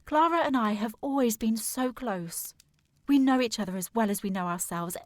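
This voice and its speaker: A woman playing a determined, formal young gentlewoman worried about her sister in a period audio drama. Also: a little high, moderate pace, no echo, clean studio sound, close to an everyday voice. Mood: troubled, insistent. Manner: formal, precise, insistent.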